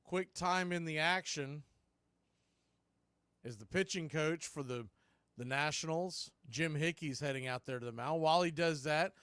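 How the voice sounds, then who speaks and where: A man calling live baseball play-by-play, as the show's announcer, in a steady, measured delivery that tracks each pitch. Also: clear, resonant tone, dry recording.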